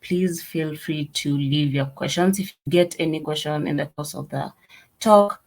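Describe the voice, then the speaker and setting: A meetup host introduces the speakers of an online talk. The delivery is halting, with fillers and broken-off words, at a middle pitch.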